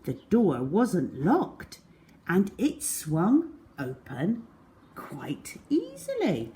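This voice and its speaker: A woman reads a storybook to young children. Her voice sits slightly low for a woman and moves very slowly and deliberately. Her pitch rises and falls in wide, expressive swings, and she stretches out words for effect. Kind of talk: children's storybook read-aloud.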